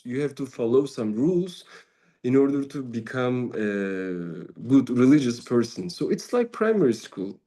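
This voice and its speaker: Turkish-accented man, mellow tone, halting unscripted teaching talk, non-native English. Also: medium pitch. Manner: halting, searching for English words, drops Turkish fillers. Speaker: Sufi mentor and speaker. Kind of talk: informal spiritual talk.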